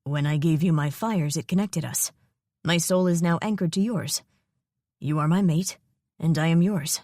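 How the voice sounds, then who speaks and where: A woman voicing a male dragon speaking slowly and formally to his mate, in a dry, close recording. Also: low voice, darkish tone, no room sound, close to an everyday voice. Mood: solemn. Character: male dragon, possessive mate, calm and solemn.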